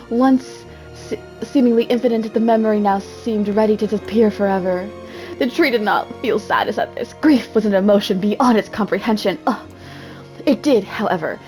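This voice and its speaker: A woman's voice: mid-range voice, darkish tone. Theatrical woman reading over music. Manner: theatrical, self-mocking, often breaking off into asides. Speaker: amateur reader. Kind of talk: mock-dramatic reading with casual asides.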